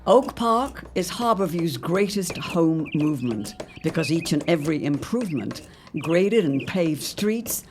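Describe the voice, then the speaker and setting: A woman's voice: low-pitched, animated, measured pace, noisy background. A woman playing a declamatory period radio announcer, reading scripted lines emphatically for an audio drama. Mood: grand, emphatic. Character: serial narrator and period advertisement announcer.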